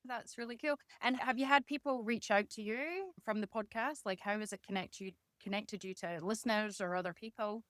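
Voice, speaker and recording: A woman hosts a conversational podcast interview in a medium-pitched voice. She is responsive and encouraging with her questions, and the sound is dry with hardly any room in it. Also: a little fast, hardly any pauses, fairly bright tone, dry recording.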